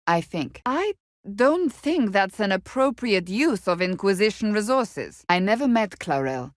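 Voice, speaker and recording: A woman's voice: medium pitch, big pitch swings, unhurried, no echo, studio quality. An actress playing a stern, devout, blunt warrior woman in a video game, speaking formally and earnestly in clipped phrases. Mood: sober, reserved. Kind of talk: video game character dialogue.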